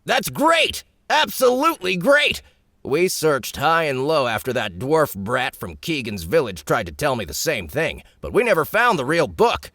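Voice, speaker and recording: A man voicing a gossipy male guard who gloats excitedly and speaks in a raised, animated voice. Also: a little high, measured pace, dry recording, studio quality, pitched above the performer's own voice, full character voice. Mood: gloating.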